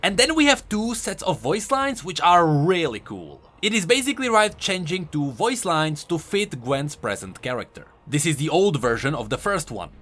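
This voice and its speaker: A man's voice: on the high side, sing-song, normal speed, few pauses, a bit bright. A man doing an enthusiastic, conversational voice-over commentary as a video host. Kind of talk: game lore commentary voice-over.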